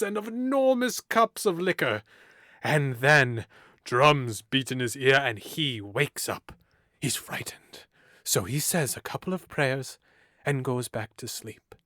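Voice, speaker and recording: Male actor as a restless, teasing young nobleman for audio drama; lively swings, dry booth. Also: medium pitch, no echo. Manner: playful, teasing, theatrical, swings from hushed to loud.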